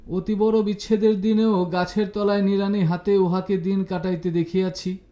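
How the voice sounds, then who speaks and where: A man's voice: high voice, flat delivery, dark tone, reverberant, voice pushed up, close to an everyday voice. A man voicing a cheerful, teasing young woman who banters and mock-scolds her cousin in an audio story. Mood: mock scolding. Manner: bantering, mock-scolding, rapid-fire reproaches.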